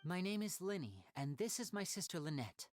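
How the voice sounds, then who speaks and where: A woman's voice: lowish voice, big pitch swings, moderate pace, darkish tone, slight background noise. A woman voicing a courteous, composed young male magician in an anime game, speaking politely and persuasively. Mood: reassuring.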